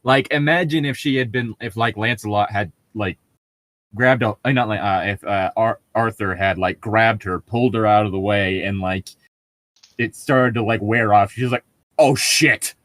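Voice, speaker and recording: A man's voice: fairly low pitch, moderate pace, fairly bright tone, no room sound. Sweary, exasperated guy chatting. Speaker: podcast host reading fan fiction with friends. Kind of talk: casual banter and mocking read-aloud of amateur fiction.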